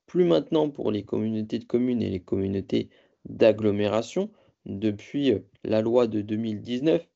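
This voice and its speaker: A man presenting a training lecture from slides, explaining in a halting, semi-improvised way and often correcting himself. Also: on the low side, gravelly, warm tone, muffled recording. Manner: halting, self-correcting delivery. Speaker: presenter of a training course on local government.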